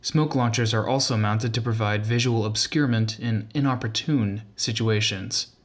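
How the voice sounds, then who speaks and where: A man reading scripted documentary narration in a measured, formal manner. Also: lowish voice. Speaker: video narrator.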